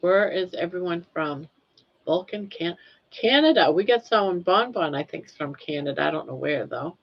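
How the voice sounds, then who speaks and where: A woman's voice: on the low side, expressive, normal speed. An older woman from Maine hosting a casual livestream, rambling and laughing easily as she reads the chat. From Maine, USA. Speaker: livestream host. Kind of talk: casual live chat reading comments. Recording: dull lo-fi stream audio.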